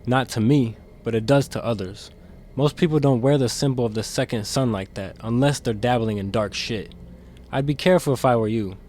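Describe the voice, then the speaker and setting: A man's voice: mid-range voice, a little fast, a little mellow, a little hiss or hum, lightly acted. A man playing a guarded, conscience-stricken demon in an audio drama, earnest and defensive in manner. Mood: guarded, wary. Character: guarded, conscience-stricken demon insider smuggling secrets to captive.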